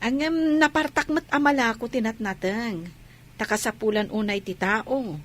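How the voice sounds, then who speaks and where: A woman's voice: a little high, normal speed, close to an everyday voice. An actress playing a kindly older mother who gives blessings and encouragement in a family drama. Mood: warm, encouraging.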